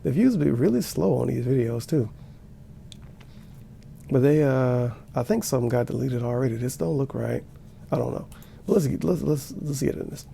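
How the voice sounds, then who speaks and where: A man's voice: mid-range voice, lively intonation, normal speed, creaky, pauses often, warm tone, a little hiss or hum. A man giving sarcastic, profane reaction commentary while watching a video. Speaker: commentary streamer.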